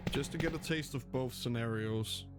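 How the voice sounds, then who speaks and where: Man commentating over loud game music. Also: medium pitch, moderate pace. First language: Danish. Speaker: game streamer. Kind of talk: casual game playthrough commentary.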